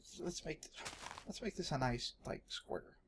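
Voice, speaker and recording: A man's voice: fairly high pitch, animated, moderate pace. Texan man rambling over gameplay, faint noise. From Texas, United States. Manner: jokey, self-deprecating, talks to himself while playing. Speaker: gaming video host. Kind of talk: casual rambling gameplay commentary. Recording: home recording with faint background noise.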